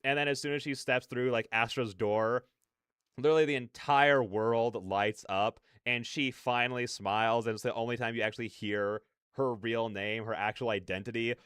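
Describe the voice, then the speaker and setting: A man gives casual reaction commentary in a smooth, clear voice at an average pitch. He rambles through his opinions conversationally, and the sound is close and dry. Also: steady tone, moderate pace, a bit bright, dry recording. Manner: rambling, peppered with "like" and "you know". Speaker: reaction video host.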